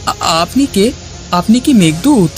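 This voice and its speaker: A man's voice: high voice, expressive, slow, faint music behind. A man voicing a timid, anxious village boy in a cartoon, hesitant and prone to stammering. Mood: frightened. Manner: hesitant, stammering when afraid. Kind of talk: cartoon character dialogue.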